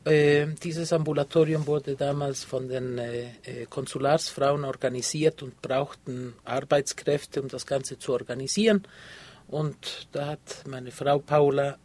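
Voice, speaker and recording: A man's voice: on the high side, a little mellow. A man in his fifties from Ecuador, answering interview questions in a hesitant way with many filler sounds. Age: 50s. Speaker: guest, photographer.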